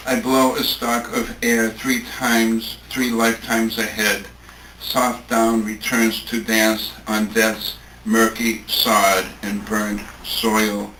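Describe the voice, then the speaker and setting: A man reading poetry aloud at a live event, deliberate and pausing phrase by phrase. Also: on the low side, sharp tone. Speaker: poetry reader at a tribute event. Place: live event room, recorded from a distance. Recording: echoey room, distant mic, faint noise.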